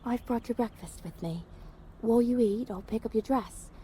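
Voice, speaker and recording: A woman's voice: on the high side, normal speed, warm tone, natural voice. A woman playing a cheerful, dutiful royal maid in a fantasy audio roleplay, deferential and helpful. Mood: cheerful, attentive. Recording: faint background noise.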